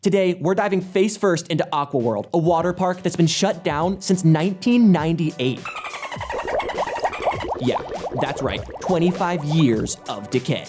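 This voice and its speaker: A cocky urban explorer played by a man fronts his YouTube intro with showy hype. His voice is brash and sits fairly high for a man. Faint music plays underneath. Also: unhurried, close to an everyday voice. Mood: hyped, cocky. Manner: hyped, showy. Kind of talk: YouTube video intro in an audio drama.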